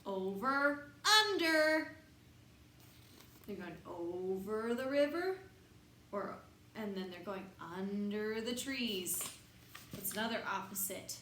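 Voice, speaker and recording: A woman reading a picture book aloud to young children in an expressive, sing-song way, pausing to put questions to them. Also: medium pitch. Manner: expressive, dramatic emphasis, sing-song counting and repetition. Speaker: children's storybook reader. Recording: roomy echo, faint background noise.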